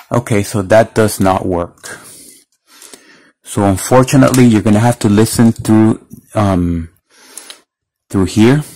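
A man's voice: lowish voice, a little mellow, rough recording. A man giving a casual hands-on product demonstration, explaining things step by step. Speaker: product reviewer. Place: indoors at a wooden table.

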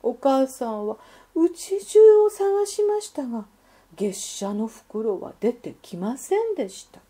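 A woman narrates a children's story in a high, breathy voice. The careful, expressive delivery moves slowly, with very lively intonation.

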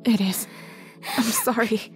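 A woman voicing a weary, brooding young anime scientist, refined and measured in manner. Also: a little high, fairly even intonation. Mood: grave. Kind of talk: animated visual novel acting. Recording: music behind the voice.

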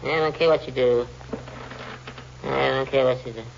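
An actor playing an elderly, suspicious uncle in a 1940s radio drama, grumbling in curt phrases. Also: male voice, medium pitch, fairly even intonation, a little fast, creaky, close to an everyday voice. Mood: grumpy. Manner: grumbling, curt, repeated "yeah". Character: crotchety old man, grumbling, suspicious uncle. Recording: noisy, muffled old radio broadcast.

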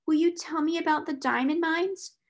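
A woman's voice: extremely high pitch, fairly even intonation, moderate pace, voice pushed up. A woman voicing a spoiled, stubborn little girl in a storybook, wailing and whimpering tearfully.

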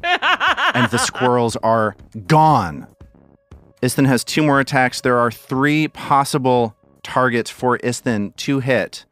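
A man narrating a tabletop game as game master, with measured, dramatic delivery and dry humor. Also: mid-range voice, sing-song, fairly bright tone, no room sound, soft background music.

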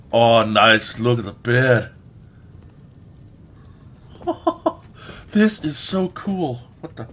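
A man's voice: medium pitch, fairly bright tone. A man giving casual, exclamatory gameplay commentary with frequent swearing as a let's play host. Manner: exclamatory, often swearing, drawn-out words.

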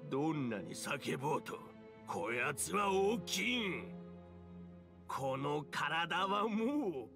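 Game role: an ancient trickster yokai, old-man diction, hugely animated and slow, over music. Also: fairly high pitch, full character voice. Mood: gleefully villainous. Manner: theatrical, old-fashioned elderly speech, cackling. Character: ancient mischievous spirit of a great fox yokai, male. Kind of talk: Japanese video game acting.

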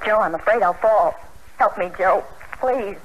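An actress playing a timid, anxious young wife in an old radio drama, faltering and pleading. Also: female voice, mid-range voice, measured pace, highs cut off, close to an everyday voice. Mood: frightened, pleading. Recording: very noisy vintage radio recording.

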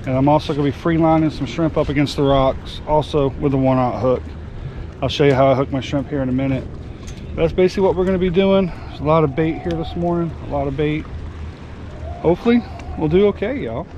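Man, high voice, folksy fishing host, outdoor boat noise. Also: brisk, warm tone, lo-fi sound. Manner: folksy and upbeat, addressing viewers as y'all. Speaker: fishing channel host and charter captain. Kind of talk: casual vlog narration. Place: open boat on the water outdoors. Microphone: action camera on the boat. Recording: outdoor boat recording with engine and water noise.

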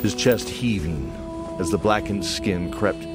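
A man's voice: low-pitched, measured pace, over loud music. A man narrating a scripted fantasy audio drama.

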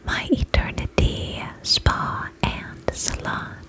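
A woman in her twenties doing a soothing, attentive ASMR roleplay as a spa attendant, whispering softly close to the microphone. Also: takes it slowly. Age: 20s. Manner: soft, soothing, attentive customer-service patter. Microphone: close microphone, face near camera.

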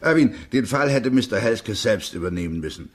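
A man playing a pessimistic, grumbling chief clerk of a law office in a radio play, husky, emphatic and disapproving. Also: fairly low pitch, expressive, brisk. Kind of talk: German radio drama acting.

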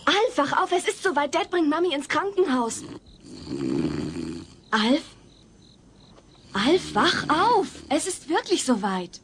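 A woman playing a gentle, caring teenage daughter in a German audio drama. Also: high-pitched, expressive, slow pace, pauses often. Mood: urgent, tender. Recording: faint background noise.

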